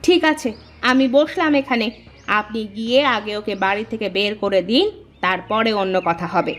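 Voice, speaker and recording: A woman's voice: medium pitch, moderate pace, a bit bright, soft background music, lightly acted. A woman voicing a scheming, spiteful elder daughter-in-law in a cartoon, with an insinuating, wheedling manner. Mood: demanding. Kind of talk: cartoon family drama acting.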